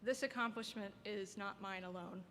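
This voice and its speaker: A soft-spoken young woman giving an earnest, heartfelt graduation speech as an award recipient, at a podium microphone. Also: mid-range voice, normal speed. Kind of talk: formal prepared speech. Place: ceremony hall. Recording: long hall reverb, faint background noise.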